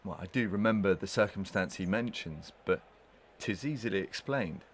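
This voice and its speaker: An actor playing a guilty, scheming villain in a Victorian audio melodrama, with formal, theatrical diction. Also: male voice, fairly low pitch, normal speed, slight background noise, natural voice. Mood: defensive, evasive. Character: guilty male villain, scheming, evasive, inwardly tormented.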